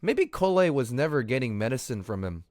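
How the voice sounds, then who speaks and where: A man's voice: medium pitch, sing-song, brisk, a little mellow, dry recording, studio quality. A man livestreaming a game, reading in-game text aloud and commenting in a casual, slangy way. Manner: casual, slangy, mild swearing. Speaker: streamer.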